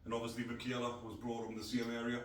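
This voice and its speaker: A man's voice: mid-range voice, monotone, rapid-fire, slight background noise. A middle-aged man from North East England, hosting a casual podcast in a blunt, rambling way. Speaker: podcast host. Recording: echoey room, distant mic.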